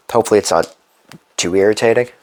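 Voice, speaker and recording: A man with a slightly low voice, a little congested and stuffy, plays a tabletop adventure game alone. He reasons out loud through each move and dice roll in a relaxed, conversational way. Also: moderate pace. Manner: thinking aloud, working through rules and dice rolls. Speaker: board game channel host. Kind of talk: solo tabletop game playthrough commentary.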